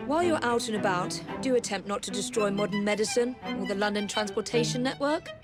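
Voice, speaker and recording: An actress playing a principled, dryly scolding young heroine, earnest in manner, in a video game. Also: female voice, medium pitch, brisk, loud music behind the voice, natural voice. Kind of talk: dramatic video game acting.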